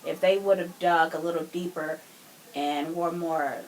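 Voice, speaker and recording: Low-voiced woman over noticeable background noise. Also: fairly even intonation, a little slow. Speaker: podcast co-speaker. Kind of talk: casual discussion. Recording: noisy room ambience.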